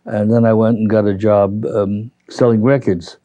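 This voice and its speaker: An older man with a Brooklyn accent reminiscing as an interviewee, halting and rambling as he recalls. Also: on the low side, little pitch movement, dark tone, no room sound, highs cut off. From New York, United States. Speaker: interviewee, retiree. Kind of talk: reminiscing in an oral history interview.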